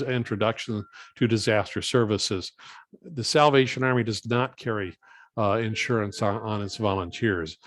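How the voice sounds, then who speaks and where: Low-voiced man explaining in halting steps. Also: no echo. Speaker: guest presenter and volunteer coordinator. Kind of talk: informal question-and-answer after a talk.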